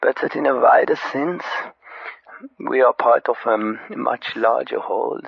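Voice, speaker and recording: A spiritual guru calling a comedy radio show. His voice is extremely croaky and breathy-husky, and he speaks in halting, solemn fragments, earnest and vague. The sound is dry and slightly dull. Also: medium pitch, fairly even intonation, no echo, heavily acted. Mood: hesitant, solemn. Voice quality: husky, heavily creaky. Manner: halting, solemn, long pauses. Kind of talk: spoof radio phone-in comedy.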